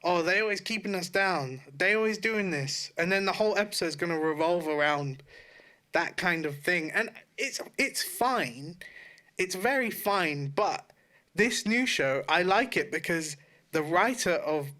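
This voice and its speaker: A man's voice: high voice, animated, measured pace, bright, forceful tone. A man co-hosting a podcast in casual chat, blunt and swearing freely. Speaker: podcast co-host. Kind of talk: casual chat about films and shows.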